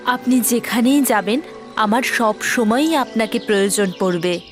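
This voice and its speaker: Woman as a cartoon mermaid princess, powerful, confident, highish voice, music behind. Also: measured pace, lightly acted. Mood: devoted.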